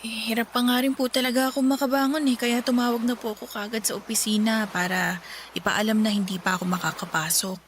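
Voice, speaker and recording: A woman's voice: fairly high pitch, steady tone, fast, lightly acted. A woman playing a sick, weary young female office worker confiding in others in a Tagalog radio drama. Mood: weary, puzzled. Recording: some noise behind the voice.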